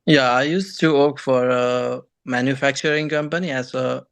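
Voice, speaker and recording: A man's voice: mid-range voice, steady tone, vocal fry, a bit bright, clean tone, no room sound. Young Nepali-accented man, dry close sound. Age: young adult. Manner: halting, non-native phrasing. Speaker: guest, engineering graduate and former student. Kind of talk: interview answers.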